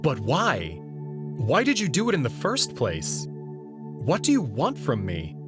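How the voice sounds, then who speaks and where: Man playing an indignant, expressive abducted human. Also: mid-range voice, slow pace. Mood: demanding. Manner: questioning, indignant. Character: frustrated, incredulous abducted young human man. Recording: clear music behind the voice.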